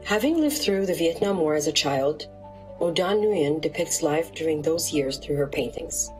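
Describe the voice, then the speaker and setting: Female narrator, slightly low voice, smooth scripted read, light music bed. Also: normal speed, low-quality recording. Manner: even, polished voice-over delivery. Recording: soft music underneath.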